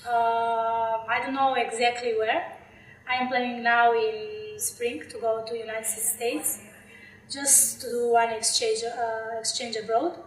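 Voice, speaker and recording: A woman's voice: fairly high pitch, level tone, slow. A young woman with a Slovenian accent, answering interview questions in English with many fillers and restarts. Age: young adult. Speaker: interviewee, PhD candidate. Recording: echoey room, mic far off.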